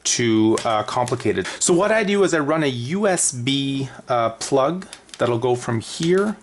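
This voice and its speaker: A man's voice: mid-range voice, animated, unhurried, a little hiss or hum. A man talking casually and unscripted through a hands-on how-to as an aviation vlogger.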